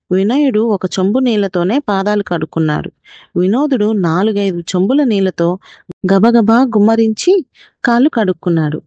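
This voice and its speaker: A woman tells a children's moral story in a gentle, explanatory way, like a mother to her child. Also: mid-range voice, no room sound, lo-fi sound.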